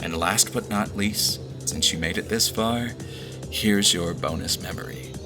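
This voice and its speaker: A man's voice: sits low, lively intonation, a little slow, music in the background. A man speaking as a podcast's creator and host, giving a scripted message to his listeners.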